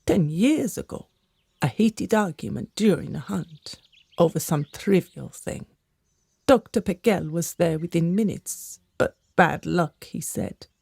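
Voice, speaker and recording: A woman's voice: fairly low pitch, animated, measured pace, creaky, a little mellow, husky, no echo, heavily acted. A woman playing an older gossiping servant in a radio drama, secretive, theatrical and conspiratorial.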